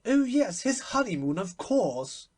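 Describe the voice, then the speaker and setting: Man voicing an imperious high-pitched society hostess. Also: very animated, a little slow, a little mellow, full character voice. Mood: eager, emphatic. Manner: emphatic, airily opinionated. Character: imperious chattering society hostess, grand lady. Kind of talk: literary audiobook character voice.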